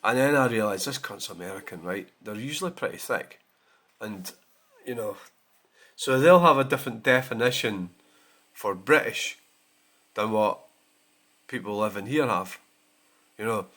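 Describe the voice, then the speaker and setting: A man's voice: on the low side, lively intonation, normal speed, pauses often, bright, forceful tone. A Scottish man hosting his own channel, talking in a blunt, sweary and rambling way in a casual rant. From Scotland. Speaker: channel host.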